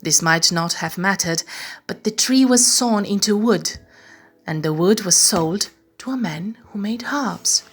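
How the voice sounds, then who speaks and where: Woman storyteller, bedtime story narration, takes it slow, bit breathy-husky, music behind. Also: mid-range voice.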